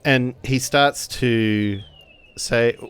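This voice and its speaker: Slow, clear-voiced man role-playing. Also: mid-range voice, fairly even intonation, fairly bright tone. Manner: halting, searching for words. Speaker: tabletop game player. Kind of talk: improvised role-play narration.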